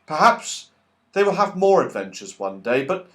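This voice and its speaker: A man reading a children's story aloud as an audiobook narrator, expressive and animated. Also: a little high, moderate pace. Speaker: audiobook reader.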